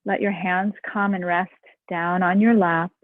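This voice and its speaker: Woman meditation guide, unhurried and gentle, dry thin phone-like recording. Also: fairly low pitch, steady tone, no echo. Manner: slow, soft and soothing.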